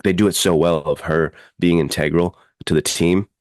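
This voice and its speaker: A man's voice: low voice, fairly even intonation, normal speed, darkish tone, no echo, studio quality. A man chatting casually and enthusiastically as a podcast co-host, rambling informally through his opinions. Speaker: podcast co-host and adventure fiction writer.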